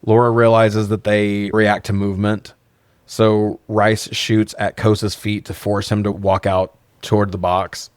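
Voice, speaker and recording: A man's voice: on the low side, clean tone, dry recording, clean studio sound. A man co-hosting a podcast, giving a casual, sardonic film recap with plenty of swearing. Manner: sardonic, profane. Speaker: podcast co-host.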